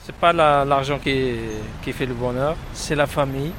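A man's voice: medium pitch, steady tone, moderate pace, fairly bright tone. A man answering in an informal street interview. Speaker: passer-by interviewee. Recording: noisy outdoor street recording.

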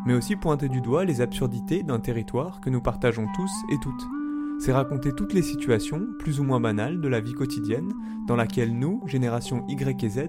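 A man from France reading a scripted introduction in a measured, composed way. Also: medium pitch. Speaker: podcast narrator. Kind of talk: scripted narration. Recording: narration over loud guitar music.

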